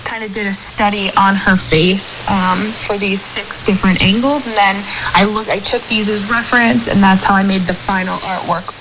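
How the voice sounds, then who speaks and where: A woman's voice: medium pitch, steady tone, normal speed. A woman talking conversationally as an interviewee in a radio report, relayed over shortwave. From United States. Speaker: interviewee, illustrator. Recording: noisy shortwave radio reception. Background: radio static.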